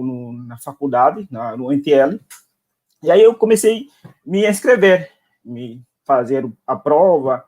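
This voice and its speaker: A young man with a Timorese accent and a fairly high voice talks casually as a guest. He is a non-native speaker who halts, searches for words and repeats short phrases as he explains. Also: a little mellow. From Timor-Leste. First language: not Portuguese. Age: young adult. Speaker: guest, international university student. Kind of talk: casual spoken account in a live interview.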